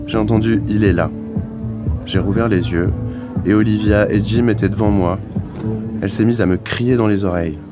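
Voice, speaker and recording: A man's voice: on the low side, steady tone, moderate pace, pauses often. A man reading scripted first-person narration from a novel. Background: clear music.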